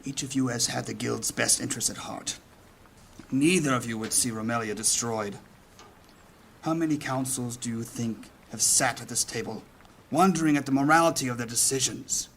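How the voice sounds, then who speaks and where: Guild master male actor, medium-pitched, commanding, deliberate and formal, for fantasy audio drama. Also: vocal fry, fairly bright tone, slight background noise. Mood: grave, commanding. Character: commanding male leader of a mage guild, firm and weighty.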